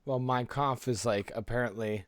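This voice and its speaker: Dude cohosting a chatty podcast, average pitch, super informal, dry sound with no room echo. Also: male voice, steady tone, normal speed. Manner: informal, rhetorical tag questions. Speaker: podcast co-host. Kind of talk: casual conversational podcast.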